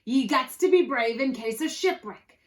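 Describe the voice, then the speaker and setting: A bossy, short-tempered pirate captain played by a woman bellows out storybook pirate slang. The voice is theatrical and gruff in manner, pitched on the high side for the swagger it carries. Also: expressive, normal speed. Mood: demanding, boastful. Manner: bellowing pirate slang, folksy dropped grammar.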